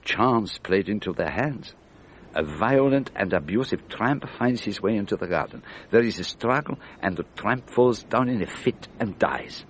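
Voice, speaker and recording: An actor playing an elderly detective in a radio drama, speaking in a precise, deliberate, patiently instructive way. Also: male voice, on the low side, expressive, a little hiss or hum, close to an everyday voice. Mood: measured, revealing. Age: older. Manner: precise, deliberate, didactic questioning. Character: elderly, methodical, patient Belgian male detective.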